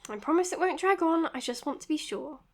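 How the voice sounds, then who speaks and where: A woman playing an earnest, dutiful psychiatrist in an audio drama, speaking in a measured, precise, professional way. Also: high voice, animated. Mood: concerned. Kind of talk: audio drama acting as spoken case notes and dialogue.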